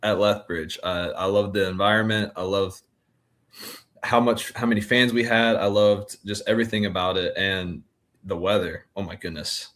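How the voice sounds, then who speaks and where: A man in his twenties with a fairly low voice, speaking as an athlete being interviewed. He talks in a relaxed, colloquial way, giving casual answers. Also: moderate pace. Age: 20s. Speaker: college baseball pitcher being interviewed.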